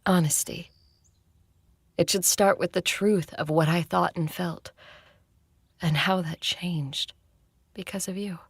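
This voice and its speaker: An ageless vampire conqueror in an intimate fiction podcast. Her voice is low, husky and slow, close and dry. She is dryly teasing and tender, keeping everything hushed. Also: steady tone, no echo, exaggerated voice. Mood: teasing, fond. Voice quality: husky, creaky. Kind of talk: intimate audio drama acting and first-person narration.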